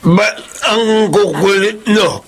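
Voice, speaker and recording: A stubborn, sickly old father in a radio drama. His speech drags very slowly and sounds labored and querulous, pitched very high for a man, with faint noise behind it. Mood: querulous, weary. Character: stubborn, ailing elderly father refusing to eat.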